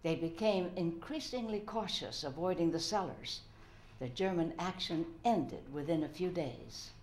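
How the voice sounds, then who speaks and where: A resolute resistance fighter read by an older woman recounts in an earnest dramatic reading. The voice is fairly low for a woman and rings with room echo. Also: animated, measured pace, darkish tone. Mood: grave, resolute. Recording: roomy echo.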